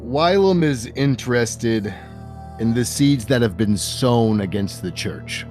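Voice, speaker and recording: A man's voice: mid-range voice, expressive, slow pace, a little mellow. A man improvising narration and dialogue as game master of a tabletop role-playing game, with music behind him.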